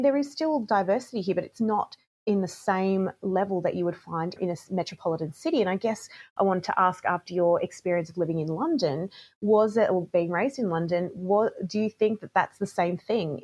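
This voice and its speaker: A woman's voice: on the low side, a little fast, a little mellow. A woman hosting a podcast, talking thoughtfully in a loose, rambling way over a video call. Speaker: podcast host. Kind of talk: casual interview conversation.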